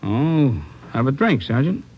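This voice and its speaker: A mouthy, swooping small-time crook. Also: male voice, medium pitch, sing-song, unhurried. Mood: cocky, boozy. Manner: slangy, insolent, protesting. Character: mouthy, defiant small-time ex-convict crook being questioned. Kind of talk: 1940s-50s radio crime drama acting. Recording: muffled, noisy old radio recording.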